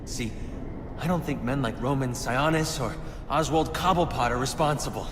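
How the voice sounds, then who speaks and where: A man's voice: medium pitch, normal speed, background noise, close to an everyday voice. A young man playing an idealistic, grandiose anarchist bomber in a video game, speechifying with theatrical fervor. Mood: bitter, disillusioned. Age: young adult. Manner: impassioned, grandiose oratory. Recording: long reverb with loud music behind.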